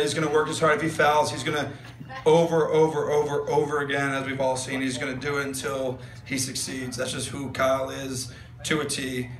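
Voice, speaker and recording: A young man giving a best man's wedding toast on a handheld mic, rambling with fillers and jokes. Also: on the high side. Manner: rambling, filler-heavy, joking. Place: large wedding tent. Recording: echoey tent with loud room noise. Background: guests laughing.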